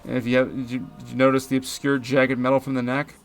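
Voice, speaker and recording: A man's voice: medium pitch, flat delivery, fast, creaky, faint music behind. Jokey man recapping a movie. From Philadelphia area, US. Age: 30s. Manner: jokey, punny, chatty. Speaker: movie commentary host. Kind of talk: casual movie recap commentary.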